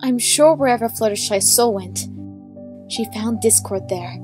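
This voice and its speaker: A woman's voice: on the high side, unhurried, music in the background, close to an everyday voice. A woman playing a solemn, wistful pony heroine, narrating a scripted story. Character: solemn, wistful pony heroine recounting her friends' lives.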